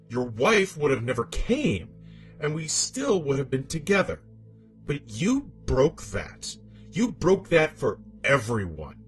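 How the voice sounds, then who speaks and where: Man voicing a vengeful demonic scorned wife, dramatically swinging pitch. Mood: bitter, accusing. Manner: theatrical, taunting, swinging between hushed menace and outrage. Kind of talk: dramatic horror story monologue.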